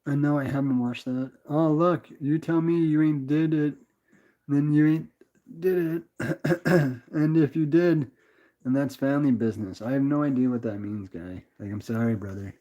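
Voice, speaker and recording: A relaxed young Canadian man talks loosely to his viewers at a medium pitch. He rambles, restarts his thoughts and keeps calling people brother. The sound is a little dull and lo-fi. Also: moderate pace. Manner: laid-back, rambling, calls everyone brother. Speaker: livestream host reading chat. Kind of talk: casual livestream chat.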